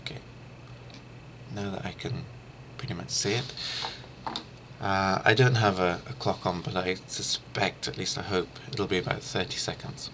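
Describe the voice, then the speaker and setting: A middle-aged man giving an informal instructional screencast as a language teacher, haltingly thinking aloud. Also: sits low, steady tone, noisy background. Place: small room lined with shelves, indoors.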